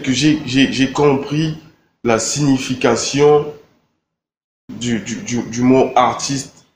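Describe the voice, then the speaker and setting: A young man from Congo answering interview questions in a relaxed, halting way, with many fillers and repeated words. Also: medium pitch, a little hiss or hum. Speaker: rapper interviewed. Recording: echoey room with faint ambience.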